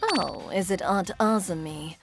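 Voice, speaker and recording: A woman playing a composed, coolly vengeful young older sister in a manga dub, speaking deliberately and resolutely. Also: fairly low pitch, animated, creaky, faint music behind. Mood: coolly taunting. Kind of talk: anime-style manga dub acting.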